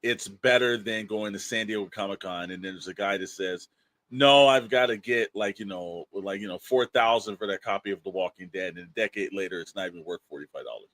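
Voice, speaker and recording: A man's voice: on the low side, fairly quick, fairly bright tone, dry recording. An American man co-hosting a podcast in casual, rambling talk peppered with verbal tags like "you know". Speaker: podcast co-host.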